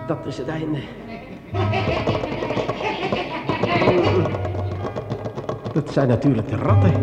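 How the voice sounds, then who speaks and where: An actor playing a jittery swordsman in a radio drama, talking to himself in halting, repeated phrases. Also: a little high, dull audio. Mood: frightened, agitated. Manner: agitated self-talk, halting and repeating words. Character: jittery man with a sword alone in a dark cellar, muttering to himself. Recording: echoey, with loud music behind.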